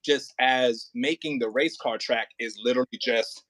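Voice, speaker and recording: A man working as an audio play director and acting coach, talking casually and informally, with halting pauses and emphatic repetition. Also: mid-range voice, fairly even intonation, a bit bright, no echo, low-quality recording.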